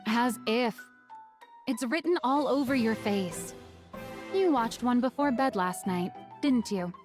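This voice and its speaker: A woman's voice: fairly high pitch, takes it slowly, music in the background. A woman playing a wry, composed, supportive female scientist who teases her young charge in a video game. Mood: teasing. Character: teasing, supportive adult female scientist, mentor to child. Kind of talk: voiced game event dialogue.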